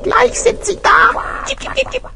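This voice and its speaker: Quietschhohen kleinen Zeichentrickvogel. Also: female voice, very high-pitched, moderate pace, creaky, background noise, full character voice. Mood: excited, alert. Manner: excited, peppers speech with chirps. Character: small eager cartoon bird, a chirping lookout. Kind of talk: children's audio play acting.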